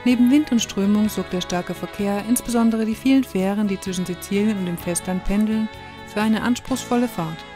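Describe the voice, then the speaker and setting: A woman's voice: mid-range voice, a little fast. A woman reading a scripted travel narration for a vlog. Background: music.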